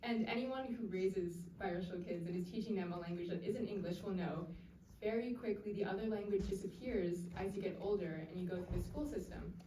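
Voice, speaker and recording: A young woman on a discussion panel, calmly telling how her family shaped her. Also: mid-range voice, fairly quick. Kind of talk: answering at a live panel. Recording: echoey room, distant mic, faint noise.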